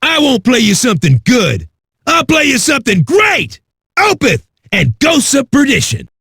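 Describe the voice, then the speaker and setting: An actor playing a cocky, crude, manic metal radio DJ with a raspy, shouty delivery. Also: male voice, very high-pitched, wildly swooping pitch, normal speed, no echo, clean studio sound, full character voice. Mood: hyped, brash. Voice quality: raspy, gravelly. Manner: brash, profane, shouty. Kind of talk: hard rock radio DJ patter.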